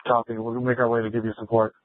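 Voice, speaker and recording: A male police commander issues orders over two-way radio in a businesslike way. His pitch is middling and very even, and the signal is thin and boxed-in like a phone line. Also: fast, a little hiss or hum. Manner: directive, businesslike. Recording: narrow-band police scanner radio.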